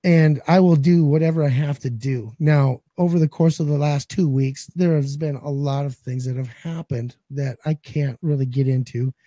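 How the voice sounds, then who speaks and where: A man's voice: on the high side, steady tone, moderate pace, hardly any pauses, warm tone, dry recording, clean studio sound. A man in his forties co-hosting a casual talk show, joking and cursing bluntly, his voice still cracking after losing it. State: recovering voice, some cracking. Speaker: podcast co-host. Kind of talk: casual chat.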